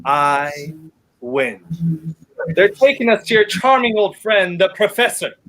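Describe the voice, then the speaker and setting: A young man playing a wry, quick-witted gentleman on the run in a 1930s radio play. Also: sits high, animated, heavily acted, poor audio. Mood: wry, smug. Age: young adult.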